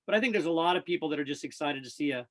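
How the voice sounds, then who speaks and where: A man's voice: fairly high pitch, lively intonation, racing, a bit bright. An American man, a radio play-by-play announcer, chatting casually and upbeat as a guest in a sports interview.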